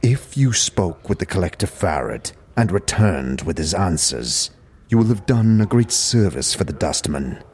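A man playing a solemn, heavy-set dustman with a stony voice and formal, measured speech in a fantasy audio drama. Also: deep voice, very animated, a little mellow. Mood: grave, solemn. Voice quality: gravelly, stony.

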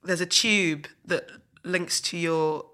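Nasal podcast guest, bright voice, bit high, lots of ums. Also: male voice, fairly even intonation, slow pace. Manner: chatty, many fillers and backchannels. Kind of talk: casual chat.

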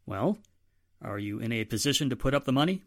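A man's voice: medium pitch, lively intonation, a little fast, close to an everyday voice. A man playing a stern, fatherly police inspector in a 1940s-style radio drama, admonishing and authoritative. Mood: businesslike.

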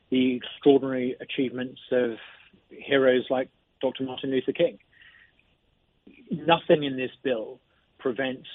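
A man being interviewed as a lawmaker, speaking in a measured way and often repeating words as he begins a sentence, heard over a phone line. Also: medium pitch, breathy edge, no room sound. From not from Mississippi. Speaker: interview guest, state lawmaker. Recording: tinny phone line, dry.

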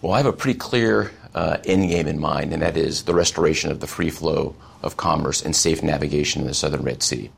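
Male US military official, extremely low and husky, firm official phrasing in formal interview answers. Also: brisk, few pauses. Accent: American. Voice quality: gravelly.

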